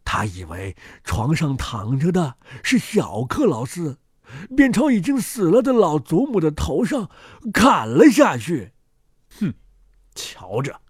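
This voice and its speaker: A man's voice: fairly high pitch, wildly swooping pitch, a little slow, slightly rough, dry recording, studio quality. A man narrating a children's fairy tale as a dramatic storyteller.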